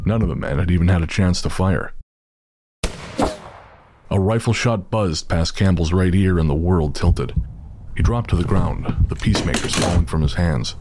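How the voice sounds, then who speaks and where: A man narrating a scripted horror audio drama in a measured, steady way. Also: low voice, background noise. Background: music.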